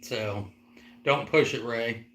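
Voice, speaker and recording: A man's voice: medium pitch, slow, fairly bright tone, reverberant. A man in his forties co-hosting a casual live-stream chat, rambling and teasing, with lots of "like". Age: 40s. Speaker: co-host.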